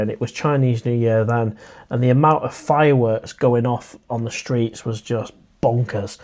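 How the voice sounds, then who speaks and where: Man, livestream host, casual friendly chat, reads viewer comments, mid-pitched voice. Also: darkish tone. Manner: chatty and friendly, addresses viewers as mate.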